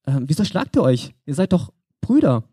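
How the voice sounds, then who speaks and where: A man's voice: on the high side, very animated, normal speed, dark tone, slightly rough, no echo, clean studio sound. A young man from Germany preaching an informal sermon, talking conversationally and addressing the listener directly.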